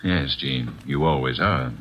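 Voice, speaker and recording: An actor playing a formal, pompous club owner who hosts a card game, in a 1950s radio drama, heard on a dull lo-fi old radio recording. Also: male voice, very deep voice, measured pace. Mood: poised. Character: smooth, formal middle-aged club owner.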